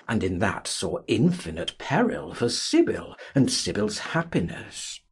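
A man narrating a literary audiobook in a dramatic, expressive and measured way. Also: low voice, slightly rough. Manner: theatrical, expressive, measured reading.

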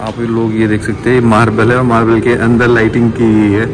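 Casual male vlogger over loud background noise. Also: lowish voice, monotone, brisk. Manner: addresses viewers directly, mixes in English phrases. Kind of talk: casual travel vlog narration to viewers. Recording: loud ambient noise, cars and outdoor bustle.

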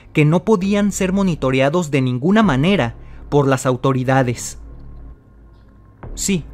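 A man narrating a mystery video from a script, speaking straight to the viewer in a dramatic tone. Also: a little high. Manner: dramatic, addresses the viewer directly. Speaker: channel host narrator. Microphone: voice-over.

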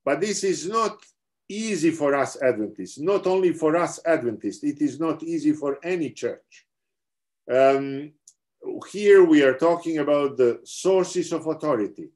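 A man's voice: fairly high pitch, darkish tone. A middle-aged man with a Serbian accent, giving a theology lecture over a video call in an earnest, explanatory way with frequent restarts. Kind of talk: online seminar lecture.

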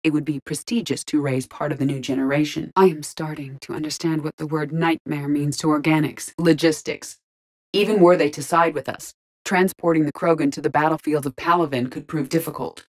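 A woman voicing a calm, curious, literal-minded female ship artificial intelligence in a video game, speaking formally and precisely. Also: low-pitched. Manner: measured, formal, precise diction. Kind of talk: scripted video game character dialogue.

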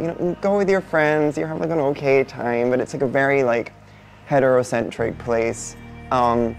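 A non-binary speaker with a male-sounding voice in their twenties, from Barbados, answering interview questions in a thoughtful, unhurried way with many soft fillers. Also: medium pitch, creaky. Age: 20s. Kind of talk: reflective personal interview. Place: indoor studio before a painted backdrop.

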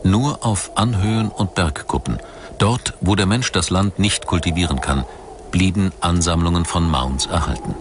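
A man narrating a scripted documentary in a measured, formal way. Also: low-pitched, background noise. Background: music behind the voice.

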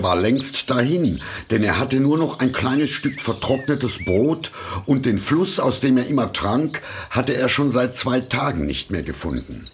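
A man narrating a fairy tale from a script in a measured, expressive storytelling manner. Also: medium pitch, a little hiss or hum.

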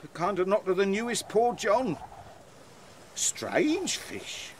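An actor playing a cowardly, curious clown in a Shakespearean comic audio play, exclaiming and musing aloud theatrically. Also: male voice, high-pitched, huge pitch swings, slow, heavily acted. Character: jittery, curious, cowardly male clown castaway. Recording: clear background storm noise.

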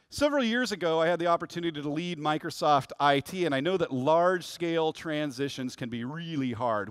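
A man's voice: fairly high pitch, no room sound, clean studio sound. Upbeat man giving a tech keynote. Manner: upbeat, rehearsed corporate delivery. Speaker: corporate vice president giving a keynote. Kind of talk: scripted keynote presentation. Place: large conference stage, indoors.

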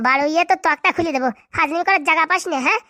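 A woman voicing a bossy, quick-tempered cartoon madam who scolds and blusters in a rural Bengali accent. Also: extremely high pitch, pushed and bright, no echo, clean studio sound. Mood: outraged. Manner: scolding, blustering, insult-laden. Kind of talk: comic cartoon dialogue.

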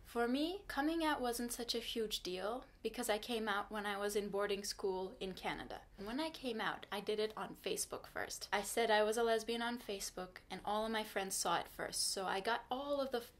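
A woman's voice: mid-range voice, normal speed, few pauses. A young woman from Turkey, a native Turkish speaker, talking frankly to the camera from her small bedroom in a casual vlog. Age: young adult. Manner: earnest, frank, advice-giving. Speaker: vlogger. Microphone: camera in front at desk distance.

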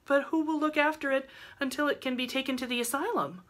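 Woman acting a high-voiced, anxious young woman. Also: sing-song, normal speed, a bit bright, exaggerated voice. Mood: hesitant, worried. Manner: hesitant, polite, earnest. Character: anxious, tender-hearted, squeamish young woman.

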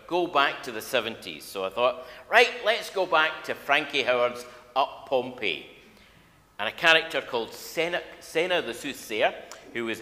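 An older man, a minister, preaching a conversational sermon in a wry, informal way with halting phrases. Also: mid-range voice, sharp tone. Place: large church, at a lectern. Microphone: gooseneck lectern microphone. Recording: echoey church hall.

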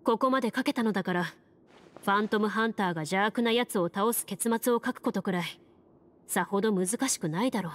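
A woman's voice: medium pitch, brisk, lightly acted. A woman voicing a stern, composed young female champion in a Japanese game, firm, blunt and commanding. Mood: calm, resolute. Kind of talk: Japanese anime game voice acting. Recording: music behind the voice. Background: game music.

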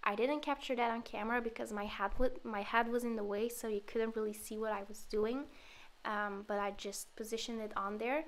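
A woman with a cold talks through a card-making project, her voice sitting a touch high. She explains each step in a relaxed way, with the odd "um" along the way. Her throat sounds slightly sore. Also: fairly quick. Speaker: card-making tutorial host. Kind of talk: voice-over craft tutorial.

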